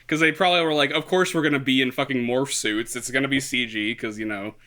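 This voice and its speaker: A young man hosting a casual podcast chat, rambling with restarts and breaking into loud, booming laughs. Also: steady tone, fast talker. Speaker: podcast host.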